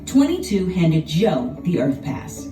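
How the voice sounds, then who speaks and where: Expressive, low-pitched woman storyteller. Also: slow pace. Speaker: storybook narrator. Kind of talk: scripted children's story narration. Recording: roomy, distant sound with music behind.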